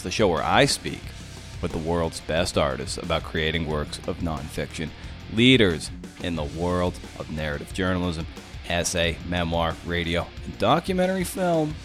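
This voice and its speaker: Give the host a low male voice with an emphatic, punchy way of speaking. He presents a podcast and puts questions to guests from a small office at home, with faint guitar music in the mix. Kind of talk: podcast intro and interview questions.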